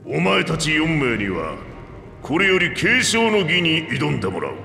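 Big old reptile king, commanding n hearty, creaky voice, game cutscene w/ music behind. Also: on the high side, huge pitch swings, a little slow, pushed and bright, exaggerated voice. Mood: solemn, commanding. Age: older. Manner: gruff, regal, plain-spoken old-ruler speech. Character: aging two-headed reptilian king, commanding, hearty and frank. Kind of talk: Japanese video game voice acting. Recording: echoey hall reverb, background music.

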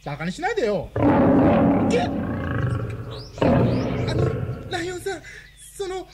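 An actress playing an excitable, blustering young woman who scares easily, in a radio drama, flustered and blunt. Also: very high voice, a little slow, exaggerated voice. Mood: indignant, nervous. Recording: noisy animal ambience behind.

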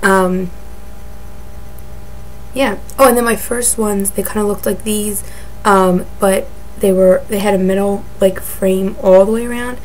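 A woman in her twenties with a raspy voice from a cold, rambling casually to a webcam in her bedroom with lots of ums and likes. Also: medium pitch, steady tone, a little slow, long pauses, a little mellow, slight background noise, poor audio. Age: 20s. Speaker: beauty vlogger. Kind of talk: casual chat answering a tag. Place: bedroom, indoors. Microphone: webcam at desk.

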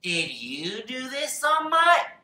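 A woman doing a fussy little mole, high and indignant. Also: huge pitch swings, slow pace, pushed and bright, far from the mic, full character voice. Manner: insistent, repeating the same question. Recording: roomy echo.

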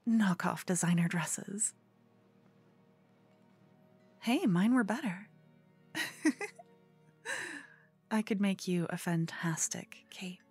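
Woman voicing a lovestruck yandere girl, ASMR roleplay, soft half-whisper, slow pace, cheeky and eager, close mic, music behind. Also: on the low side, exaggerated voice. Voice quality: soft, breathy, often whispery. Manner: cutesy, eager, cheekily salesy. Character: cute obsessed yandere fangirl and con woman courting a vampire. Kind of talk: ASMR roleplay, comedic one-sided dialogue.

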